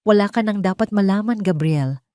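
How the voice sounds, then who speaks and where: A woman's voice: mid-range voice, a little fast, mellow, dry recording, studio quality, voice pushed up, close to an everyday voice. A woman voicing a stern, controlling older mother of a wealthy family in an audio drama, with a hard, commanding manner.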